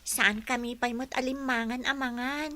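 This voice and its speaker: A woman's voice: fairly high pitch, fairly even intonation, moderate pace, bright, forceful tone. A woman playing a poor, dutiful young schoolgirl in a radio drama, earnest and polite. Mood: hurt, defensive. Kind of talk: Filipino radio drama acting.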